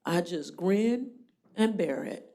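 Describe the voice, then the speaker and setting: A woman judge running a jail court hearing, brisk and plainspoken with a dry joking streak. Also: on the low side, lively intonation, mellow.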